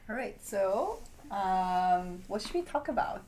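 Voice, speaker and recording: A woman leading a group chat, halting and full of fillers and tag questions. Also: mid-range voice, sing-song, a little mellow, a little hiss or hum, poor audio. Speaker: discussion leader. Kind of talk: casual group discussion.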